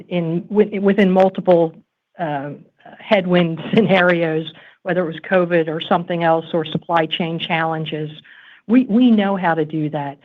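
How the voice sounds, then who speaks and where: A woman senior executive speaking off the cuff on an earnings call, emphatic and assured. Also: fairly low pitch. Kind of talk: extemporaneous corporate earnings call commentary. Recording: tinny narrow phone-line conference audio.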